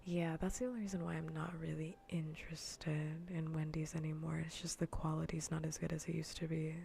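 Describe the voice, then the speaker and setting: A woman livestreamer chatting softly in a breathy, mostly whispered ASMR voice close to the mic, rambling playfully and repeating her phrases. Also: low-pitched, flat delivery, a little fast, a little hiss or hum. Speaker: livestreamer hosting an ASMR gaming stream. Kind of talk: soft ASMR casual chat to viewers. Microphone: close ASMR microphone.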